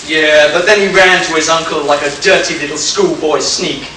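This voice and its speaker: Man acting a high-pitched hot-tempered bruiser, echoey hall. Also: fairly even intonation, normal speed. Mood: bitter. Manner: forceful, tough-guy outbursts. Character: hot-tempered, pugnacious big man, aggrieved and defensive. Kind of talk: amateur radio play acting. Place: live convention stage in echoey room. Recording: very echoey hall, far-off mic, faint hiss.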